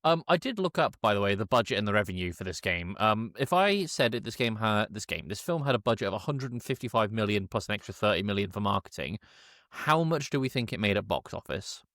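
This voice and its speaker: A man's voice: on the low side, sing-song, fast talker, a bit bright, dry recording, studio quality. A man hosting a casual film review chat, blunt and joking, who swears freely. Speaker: podcast host.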